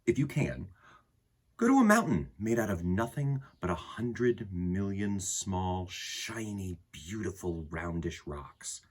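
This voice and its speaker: Animated low, husky man reading to kids. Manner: animated, dramatic pauses and emphasis. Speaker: children's storytime host and reader. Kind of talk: expressive picture book read-aloud for children.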